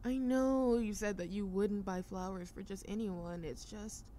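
A woman's voice: medium pitch, warm tone, close to an everyday voice. A woman playing a shy, affectionate young girlfriend who overthinks everything, hesitant and stammering in an intimate roleplay audio drama. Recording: faint ambient noise behind voice.

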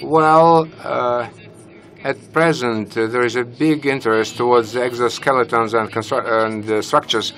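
A young man speaking on a conference panel into a handheld mic, halting with many fillers and restarts. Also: mid-range voice, fairly bright tone. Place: conference stage, large hall. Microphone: handheld microphone.

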